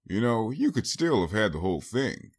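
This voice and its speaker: A folksy older stallion from an audiobook tale, acted by a man. He is good-natured, a bit cocky and fatherly, with an animated, swinging pitch and an affectionate, easygoing way of talking. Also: moderate pace, breathy edge. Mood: fond.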